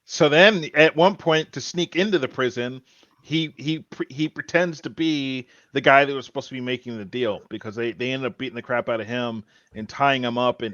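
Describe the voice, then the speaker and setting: A man with a mid-pitched voice chats casually as a podcast host. He talks in a halting way, often doubling back on words. The sound is close and dry, slightly dull and lo-fi. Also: fairly bright tone, no room sound. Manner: halting, repeats words as he thinks. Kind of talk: casual TV show discussion.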